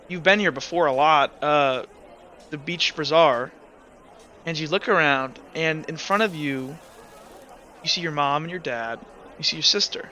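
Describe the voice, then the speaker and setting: A man's voice: a little high, bright, forceful tone, lo-fi sound, slight background noise. A young man running a tabletop roleplay game as game master, talking casually with many fillers and restarts.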